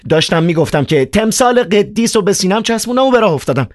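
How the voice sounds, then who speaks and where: A man doing a frantic, shrill young poet. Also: expressive, dry recording, clean studio sound. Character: agitated young male poet in an asylum.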